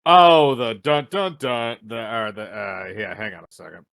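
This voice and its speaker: A man chatting over a game with a bright, forceful voice. He laughs easily and keeps saying hang on while he looks something up. His voice sounds close and dry. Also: medium pitch, big pitch swings, unhurried, clean tone, no echo. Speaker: let's play co-host. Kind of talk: casual gaming chat.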